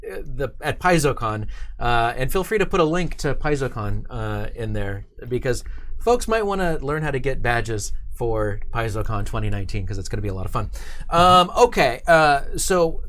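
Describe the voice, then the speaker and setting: A man hosting a casual interview, speaking informally and haltingly as he thinks aloud. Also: medium pitch, big pitch swings, fairly bright tone. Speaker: show host, interviewer.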